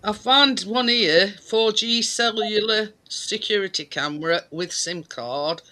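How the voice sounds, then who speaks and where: A man with a high, sharp, very bright voice speaks in an unhurried, drawn-out way. He is hesitant and searches aloud as he goes. The talk is casual and plain. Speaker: visitor discussing his mother's care. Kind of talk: casual chat.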